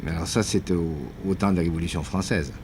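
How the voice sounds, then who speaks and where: A man interviewing a guest for broadcast, asking measured, probing questions. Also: deep voice, fairly even intonation, background noise.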